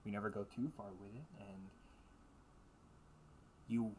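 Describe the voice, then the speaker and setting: A man playing a teasing but protective older brother in an intimate audio roleplay, talking casually and earnestly with halting pauses. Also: on the low side, steady tone, a little mellow, slight background noise, close to an everyday voice. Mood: light, reassuring. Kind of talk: intimate audio roleplay monologue addressed to listener.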